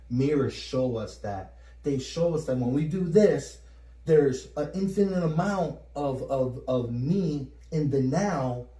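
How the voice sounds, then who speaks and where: A Puerto Rican man co-hosting a casual spiritual chat, energetic and repeating words as he gets going. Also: medium pitch. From Puerto Rico. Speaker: podcast co-host. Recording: roomy echo, mic at a distance.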